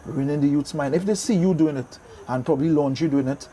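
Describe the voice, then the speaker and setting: Middle-aged Trinidadian man, slightly high register, interview guest reminiscing in loose, repetitive, tag-question style. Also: fairly quick, warm tone, slight background noise. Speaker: guest, DJ and soca singer.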